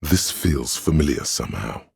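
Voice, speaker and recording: A man voicing an encyclopedic inner voice in a video game, learned and solemn, narrating in a measured, erudite way. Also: a bass voice, big pitch swings, creaky, husky, dry recording, clean studio sound, full character voice. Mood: measured, informative. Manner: measured, erudite, declarative delivery.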